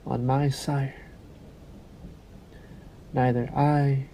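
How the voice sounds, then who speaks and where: A man's voice: medium pitch, gravelly, mellow, slight background noise, low-quality recording. A man playing a centuries-old vampire in a roleplay audio drama, sadistic and possessive, with formal, silky menace and condescending endearments. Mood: coaxing, solemn.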